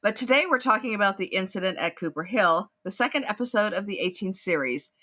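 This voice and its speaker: A woman co-hosting a podcast, her voice raspy from being sick, chatting casually. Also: mid-range voice, expressive, a little fast, pushed and bright. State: raspy from covid. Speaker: podcast co-host. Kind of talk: casual chat.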